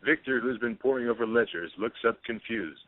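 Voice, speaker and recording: A man's voice: medium pitch, a little fast, dry recording. A man reading stage directions as scripted narration for a play, with narrow phone-like sound.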